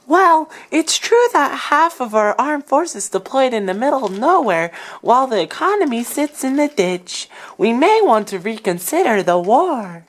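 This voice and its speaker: A woman's voice: sits high, sing-song, unhurried, few pauses, exaggerated voice. A woman playing a doubtful, matter-of-fact French wife discussing war in an amateur puppet show.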